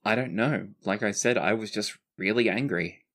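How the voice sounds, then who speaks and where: A player acting out an easygoing male fantasy fighter in a tabletop game. He is plain-spoken and protective, with a gentle teasing streak, in a mid-range voice. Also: mid-range voice, moderate pace. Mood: earnest.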